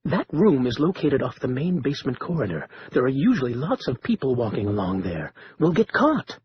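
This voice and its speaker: A male narrator giving voice to a fussy, easily rattled librarian who wails his objections. He sounds excitable and worried. The recording is muffled and lo-fi, with a faint hiss underneath. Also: mid-range voice, brisk, natural voice.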